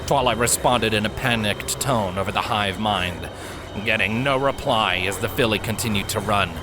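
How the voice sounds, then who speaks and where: A man reading a story as an audiobook narrator, with dramatic, suspenseful and deliberate phrasing. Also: fairly low pitch, music in the background.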